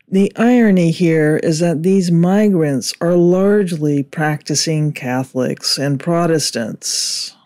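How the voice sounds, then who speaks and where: A man reading a scripted political commentary in a measured, indignant way. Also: on the high side, a little mellow.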